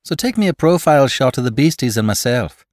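A man's voice: mid-range voice, expressive, fairly quick, no echo, clean studio sound, voice pushed up, lightly acted. A man voicing a big, burly, joking adventurer with a boisterous, bantering manner in a dramatised story. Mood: playfully dramatic. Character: big burly Irishman with a gun, joking, blustering in danger. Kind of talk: dramatised audiobook character voice.

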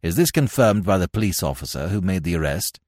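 A man's voice: sits low, very animated, brisk, darkish tone, no room sound, studio quality. A man voicing a curt, impatient British investigator in an audiobook, questioning with harsh emphasis. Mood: irritable.

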